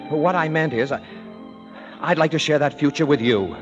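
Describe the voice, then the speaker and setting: A man's voice: on the high side, moderate pace, close to an everyday voice. Bashful small-town suitor, played by a man, stammers a lot, lo-fi radio sound with music. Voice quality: stammering, awkward. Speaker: suitor Ben. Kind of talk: 1930s radio drama acting. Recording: muffled old radio broadcast with music.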